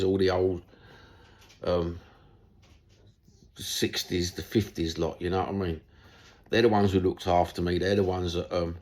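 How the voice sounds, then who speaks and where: A middle-aged man with a Cockney London accent, chatting bluntly and rambling in a casual monologue about football, swearing now and then. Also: low voice. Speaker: football YouTuber.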